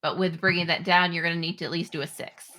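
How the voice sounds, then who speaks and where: A woman game master improvising narration and rules in a halting, conversational way. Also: lowish voice, steady tone, bright, forceful tone, rough recording. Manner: halting, conversational, pieced-together descriptions. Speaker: game master of a tabletop roleplaying game.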